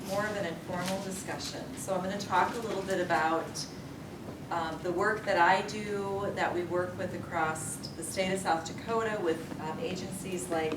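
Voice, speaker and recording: A woman leading an informal community talk, friendly and drawing the audience into discussion. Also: lowish voice. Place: hall with a live audience. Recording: echoey room, distant mic, loud hiss.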